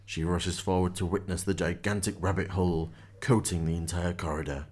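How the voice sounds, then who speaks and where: A man's voice: low-pitched, steady tone, a little fast, darkish tone, slightly rough. A man reading scripted narration as the storyteller of a radio drama.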